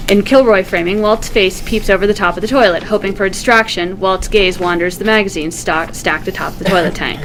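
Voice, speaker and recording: A woman reading stage directions at a script table read in an expressive storytelling manner. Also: medium pitch, fairly quick, hardly any pauses, slight background noise, low-quality recording.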